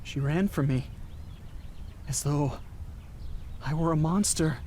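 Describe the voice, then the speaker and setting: An actor playing a haunted, desperate young driver in a radio drama, earnest and halting, stammering when agitated. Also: male voice, fairly high pitch, dark tone, a little hiss or hum. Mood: haunted, grim. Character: haunted, desperate young male cross-country driver, unravelling. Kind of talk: radio drama acting with first-person narration. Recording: music behind the voice. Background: dramatic music and car sounds.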